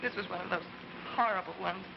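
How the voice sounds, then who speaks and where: A woman playing a gentle, concerned character in a 1950s television drama. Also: lowish voice, fairly quick. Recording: muffled 1950s broadcast audio with clear noise.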